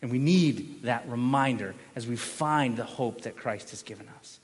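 A male pastor preaching a sermon with emphatic, dramatic swings from raised voice to hushed emphasis, leaning on repeated phrases and rhetorical questions. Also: mid-range voice, moderate pace. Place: large reverberant indoor church hall. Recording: long reverb of a large hall.

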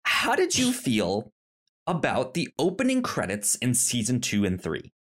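Very low-voiced woman, stammering podcast chat. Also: a little slow. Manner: stammers and repeats words, animated. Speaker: podcast co-host. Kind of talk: casual chat.

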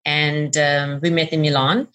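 A woman's voice: low voice, little pitch movement, measured pace, fairly bright tone, clear, resonant tone, dull audio. A middle-aged woman from Iran with an Iranian accent, a guest in an interview, talking with many fillers and restarts and laughing often. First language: Persian. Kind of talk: casual interview conversation.

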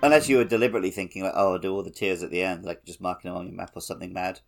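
A man's voice: low-pitched, fairly even intonation, very fast, vocal fry, soft background music. A man co-hosting a podcast, talking through a video game in an informal, explanatory way. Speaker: podcast co-host. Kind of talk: casual discussion of a video game.

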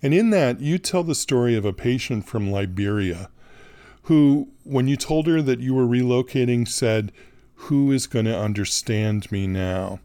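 A man hosting a podcast, moving between scripted narration and interviewing with deliberate, articulate phrasing. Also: medium pitch, lively intonation, warm tone, clean tone, no echo. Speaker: podcast host.

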